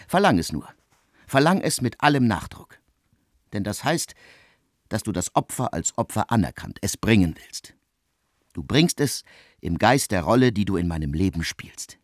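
An actor in a German radio play, playing a cool, probing, formal man with husky, deliberate, ornate and ironic diction. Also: deep voice, no room sound, clean studio sound. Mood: solemn urging. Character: cool, probing, formal man asking a friend to woo for him.

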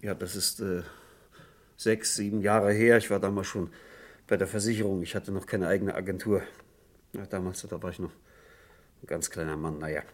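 Nervous insurance agent, low voice, halting, evasive, apologetic, radio crime play. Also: male voice, fairly even intonation. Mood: rambling, evasive. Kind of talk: German radio crime drama acting.

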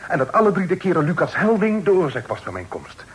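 An actor playing a polite, earnest young gentleman in a radio play, formal and well-mannered. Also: a little high, big pitch swings, quick pace, low-quality recording. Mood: pleading. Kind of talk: old Dutch radio play acting. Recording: old radio recording with faint noise.

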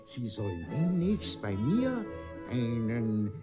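A gullible would-be gentleman in a classic radio play. He gushes theatrically and deferentially at an unhurried pace, his pitch rising and falling freely. The recording is muffled and old, with music clearly behind him. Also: very animated. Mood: bashful boasting. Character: vain, gullible bourgeois host fawning on nobles. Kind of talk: classic German radio play comedy acting.

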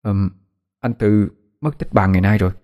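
A man's voice: lowish voice, moderate pace, a little mellow, natural voice. Man playing a hesitant, caring older sibling. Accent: Southern Vietnamese. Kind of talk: dramatised audio story narration.

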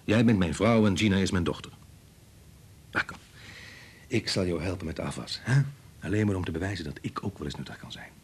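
Cynical scientist husband, radio drama, husky, highly varied intonation, dismissive, pushy. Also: male voice, expressive, fairly quick, pauses often, heavily acted. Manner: sardonic, dismissive, persuasive. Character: cynical, pushy biologist husband and father, belittling. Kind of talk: Dutch radio play acting.

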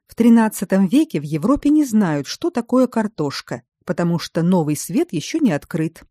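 A woman voicing a calm, learned male baron in an audiobook, speaking in a measured, explanatory way. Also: mid-range voice, dry recording, clean studio sound. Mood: explaining.

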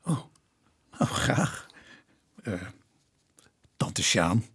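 An actor playing a courteous, methodical police inspector in a Dutch radio play, with a probing, matter-of-fact manner. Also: male voice, on the low side, big pitch swings, very slow, creaky, a bit bright, husky, full character voice. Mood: polite.

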